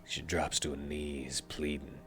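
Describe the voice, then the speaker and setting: Man with a very deep, dramatic reading voice. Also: little pitch movement, a little slow, fairly bright tone, soft background music. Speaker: let's play narrator. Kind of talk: reading game text aloud.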